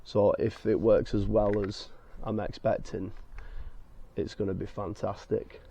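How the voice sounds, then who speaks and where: A man with a croaky, hoarse voice from being ill, hosting a car detailing vlog and explaining each step in a chatty way with many fillers. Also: on the low side, little pitch movement, unhurried, warm tone. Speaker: car detailing channel host. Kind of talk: casual talk to camera with fillers. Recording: dull lo-fi sound.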